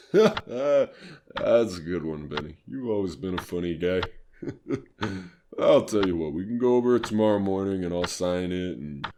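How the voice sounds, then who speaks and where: Man playing deep-voiced, weary, amiable businessman. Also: animated, measured pace, noisy background. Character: weary, amiable married businessman, reluctant to linger. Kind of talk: retro audio horror drama acting.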